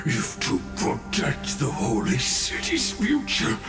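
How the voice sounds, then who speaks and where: A man playing a dying master craftsman warrior in a video game, solemn and resolute, speaking haltingly in inverted word order. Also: on the high side, wildly swooping pitch, exaggerated voice. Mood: pained, weak. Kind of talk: dramatic video game voice acting. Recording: reverberant voice over background music.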